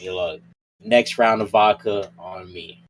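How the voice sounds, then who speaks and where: Man acting cocky roleplay mercenary, lowish pitch, rambling, sweary, slight background noise. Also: measured pace, rough recording. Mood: casual, cocky. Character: cocky, hot-headed, loyal male mercenary. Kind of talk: improvised tabletop roleplay.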